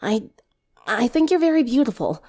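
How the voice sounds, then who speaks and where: Woman as conflicted teen heroine for story audio, defensive, emphatic, lively intonation. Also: a little high, normal speed, slightly rough, pitched above the performer's own voice. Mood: nervous, smitten. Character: conflicted, defensive, lovestruck teenage girl.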